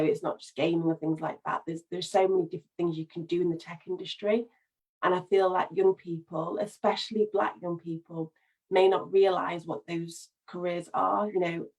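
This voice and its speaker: Woman, low-pitched, flat delivery, video call, measured casual introduction. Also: poor audio. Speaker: business owner and life coach. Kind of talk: casual introduction in an online meeting.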